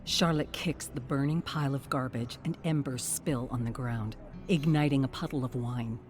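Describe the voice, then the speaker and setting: A narrator with a mid-range voice delivers dramatic scripted storytelling. The reading is measured and suspenseful, with faint music underneath. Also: mid-range voice.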